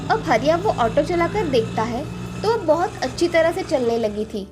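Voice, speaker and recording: A woman's voice: high-pitched, big pitch swings, normal speed, over music. A woman reading a scripted children's story as its storyteller.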